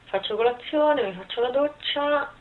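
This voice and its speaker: A woman in her twenties answering interview questions casually, in a hesitant, halting way. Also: high voice. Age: 20s. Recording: phone-like, thin sound with faint noise.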